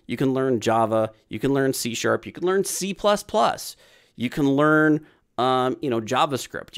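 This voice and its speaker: Man in his thirties, livestream host, casual rambling, lots of ums and restarts, mid-pitched voice, webcam audio. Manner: rambling, repeats himself with many fillers.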